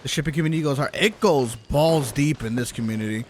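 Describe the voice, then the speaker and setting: A man's voice: mid-range voice, fast, darkish tone, a little hiss or hum. A man livestreaming a game, chatting casually in a jokey, exclamatory way with plenty of swearing. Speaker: game live streamer. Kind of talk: casual livestream chat while playing a game.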